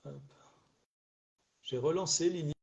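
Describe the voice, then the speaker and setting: A man's voice: fairly high pitch, takes it slowly, vocal fry. A middle-aged man hosting a casual live stream alone, rambling and hesitant, with many fillers and restarts, a little stuffy after a cold. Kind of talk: casual solo live chat. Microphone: phone or webcam on a live stream.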